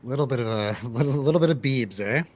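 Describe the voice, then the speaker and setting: A man chatting casually on a movie review panel, animated and repeating phrases for emphasis. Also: mid-range voice, fast talker.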